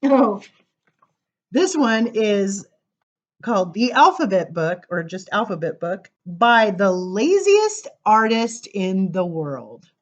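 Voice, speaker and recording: A woman reading children's poems aloud at story time, informal, with dramatic emphasis and drawn-out words. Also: medium pitch, big pitch swings, muffled recording. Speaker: library story time reader.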